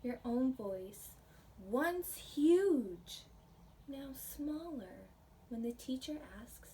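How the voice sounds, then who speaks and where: American female preschool teacher for story time, soft mellow tone, gentle and unhurried, recorded at a distance. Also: sits high, lively intonation. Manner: gentle, child-directed, asks the listeners questions. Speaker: pre-K teacher. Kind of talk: children's picture book read-aloud with simple explanations. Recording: distant mic, faint background noise.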